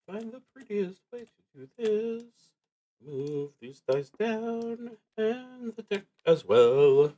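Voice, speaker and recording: A man narrating a solo tabletop game on a livestream, thinking aloud in a casual, halting way with pauses mid-sentence. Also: high-pitched, big pitch swings, mellow. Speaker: solo tabletop roleplaying streamer.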